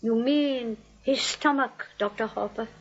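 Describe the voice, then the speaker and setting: A woman's voice: fairly high pitch, measured pace. A woman playing a sweet, chatty elderly spinster aunt in a comic radio play, gushing and politely hospitable. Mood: earnest, fussing. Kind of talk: radio play comedy acting. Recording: dull lo-fi radio broadcast.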